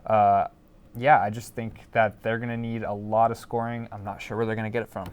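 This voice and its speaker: A man's voice: fairly low pitch, flat delivery, fairly quick, smooth. A man giving opinions as a panelist in a casual sports discussion, often repeating words as he goes.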